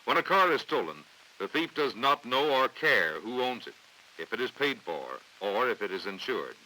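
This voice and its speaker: An American man reading scripted training film narration in a formal, authoritative, measured way on a vintage 1950s soundtrack. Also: mid-range voice, big pitch swings, pushed and bright, rough recording. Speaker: documentary narrator.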